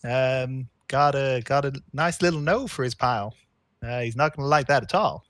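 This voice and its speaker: A man's voice: medium pitch, moderate pace, a bit bright, no room sound, studio quality. A man running a social deduction game as storyteller, putting on an American Southern accent with a playful, drawling manner. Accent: put-on American Southern accent. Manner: playful, drawling, trails off with fillers. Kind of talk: live-streamed game narration and banter.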